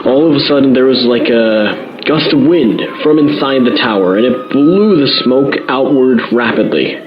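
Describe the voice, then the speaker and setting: A man plays a male field reporter in a radio drama, with a medium-pitched voice. He gives an earnest, awed eyewitness account. The link sounds dull, with clear noise behind him. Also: expressive, moderate pace, natural voice. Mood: awed, descriptive. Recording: noisy, muffled outdoor radio link.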